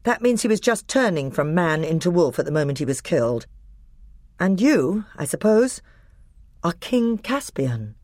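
A narrator playing a courteous, confident boy high king with brisk, well-mannered authority. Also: very animated, darkish tone, dry recording, clean studio sound, lightly acted. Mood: composed, courteous.

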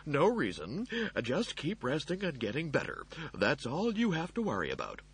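A man's voice: on the high side, huge pitch swings, moderate pace, husky, pitched above the performer's own voice, full character voice. A man voicing a male android servant in an audiobook, overly soothing and fussy while hiding panic. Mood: falsely soothing. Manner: overly soothing, euphemistic reassurance. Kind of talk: audiobook character voice.

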